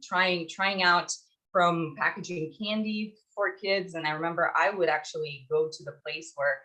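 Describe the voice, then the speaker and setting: Low voiced woman from ukraine doing a podcast interview, chatty storytelling, thinks as she goes. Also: moderate pace, bright, forceful tone, low-quality recording. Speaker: podcast guest, startup founder.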